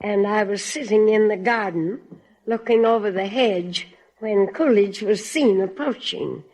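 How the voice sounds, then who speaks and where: A woman's voice: medium pitch, unhurried, darkish tone, poor audio. A woman from Massachusetts with an American accent, answering a quiz host politely and formally as a contestant. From Massachusetts, United States. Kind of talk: unscripted interview answers.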